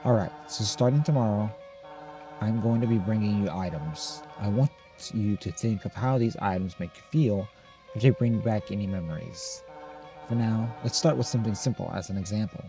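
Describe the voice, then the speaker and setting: A man's voice: lowish voice, normal speed, over music, close to an everyday voice. A man voicing an anxious, controlling mother in a horror game, coaxing and insistent. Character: anxious, controlling mother fussing over her daughter.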